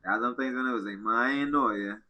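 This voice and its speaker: A man from Barbados with a Bajan accent and a husky voice, a soca singer chatting casually in dialect in an interview. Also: mid-range voice, racing, sharp tone.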